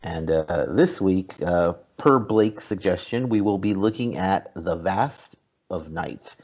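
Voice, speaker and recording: A man's voice: sits low, lively intonation, slow, a little mellow, slightly rough. A man hosting a podcast, talking casually as he leads a film review discussion. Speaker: podcast host. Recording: dull, lo-fi podcast audio.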